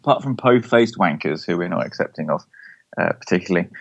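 A man's voice: deep voice, expressive, fast, creaky, slightly rough, dull audio. A man with an English accent chatting casually about football as a podcast co-host, wordy and hesitant, often tagging statements with a question.

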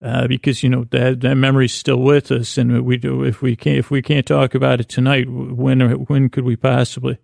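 Male podcast host, very gravelly fry, dry close sound, halting and mumbly, whimsical self-deprecating bedtime rambles. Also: mid-range voice, level tone, darkish tone, no echo. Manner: meandering, halting, stammering and repeating words.

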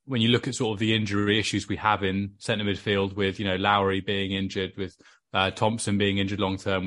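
A Welsh man chatting casually about his football club on a podcast, measured and full of fillers. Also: fairly low pitch, a bit bright. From Wales.

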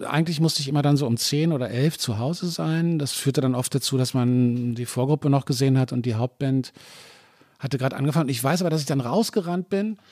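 A man's voice: medium pitch, fairly quick, a little mellow, dry recording, clean studio sound. A middle-aged man guesting on a podcast, talking in a reflective way and thinking aloud with many restarts. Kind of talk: casual conversation. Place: living room.

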